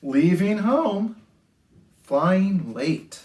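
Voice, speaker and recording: A man hosting a children's storytime, reading picture books aloud in an expressive, sing-song way with drawn-out phrases. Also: high voice. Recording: roomy, echoey indoor sound.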